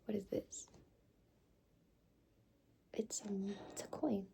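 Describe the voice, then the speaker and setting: A young woman doing a whispered ASMR roleplay, speaking softly and hesitantly with many fillers. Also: mid-range voice, fairly even intonation, takes it slowly, creaky. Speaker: ASMR creator.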